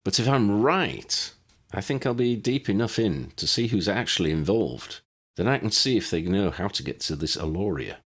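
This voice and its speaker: A man's voice: lowish voice, animated, moderate pace, a bit bright, voice pushed up. A man voicing a cocky, wry young male journalist with an airy, confident manner in an audiobook story. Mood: firm, planning.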